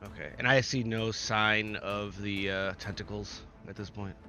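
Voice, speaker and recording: Slightly low-voiced man in tabletop play. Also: steady tone, a little slow, sharp tone. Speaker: tabletop game player. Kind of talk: casual game chat. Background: game music.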